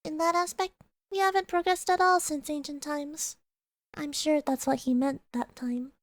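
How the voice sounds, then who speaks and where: A woman playing an aloof, philosophical character in a radio drama, with a measured, reflective manner. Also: high voice, fairly even intonation, dry recording, lightly acted.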